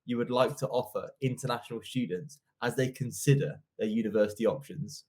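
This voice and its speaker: A man's voice: mid-range voice, a little fast, a little mellow. A young man hosting an online interview in a polite, formal, effusive way. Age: young adult. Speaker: interviewer, host. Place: indoor office, video call.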